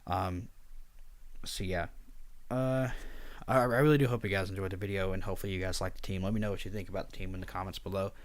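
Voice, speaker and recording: Quiet, low-voiced young man rambling. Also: fast, dry recording. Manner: quiet, subdued and somewhat monotone; rambling with frequent fillers. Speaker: draft league coach and video host. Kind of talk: casual spoken commentary over slides.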